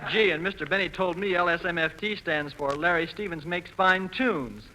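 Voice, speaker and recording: Young American man, fairly high pitch, earnest, naive, polite, 1940s radio comedy foil, noisy old broadcast. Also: normal speed. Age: young adult. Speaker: show singer and comic foil.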